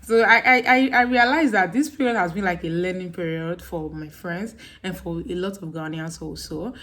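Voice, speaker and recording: A young woman with a Nigerian accent, chatting casually to camera on her vlog. She acts out her friends' questions with laughter. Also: on the low side. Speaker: vlogger.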